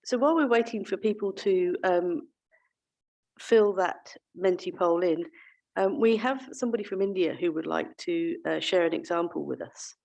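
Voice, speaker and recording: A woman's voice: fairly low pitch, moderate pace, gravelly, a little mellow, lo-fi sound. A woman moderating an online breakout session over a video call, talking with many fillers and restarts. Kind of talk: online meeting facilitation.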